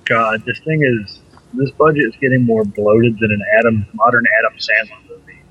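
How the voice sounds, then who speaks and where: Man, husky, bright and forceful, joking podcast co-host, dull lo-fi sound. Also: medium pitch, steady tone, normal speed. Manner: jokey, self-mocking banter. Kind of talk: casual gaming podcast chat.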